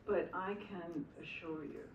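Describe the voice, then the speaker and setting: Older Philadelphia woman, low voice, echoey. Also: steady tone, a little slow, highs cut off, a little hiss or hum. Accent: Philadelphia with English traces. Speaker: honoree giving acceptance speech. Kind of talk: acceptance speech. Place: school hall at an awards ceremony. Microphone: podium microphone. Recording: echoey hall, distant mic.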